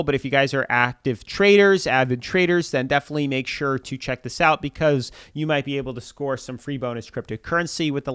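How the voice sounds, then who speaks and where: A man's voice: medium pitch, fairly bright tone, clean tone, dry recording, clean studio sound. A man hosting a crypto channel, talking through market charts in casual commentary, a little congested from a cold, chatty and repetitive. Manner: chatty, repeats filler "like", pushes viewers to subscribe.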